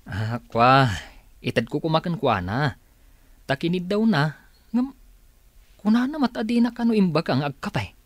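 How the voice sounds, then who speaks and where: A man's voice: on the high side, big pitch swings, normal speed, pauses often, dry recording. A man playing an exasperated but patient adult son of an elderly father in a radio drama. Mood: flustered.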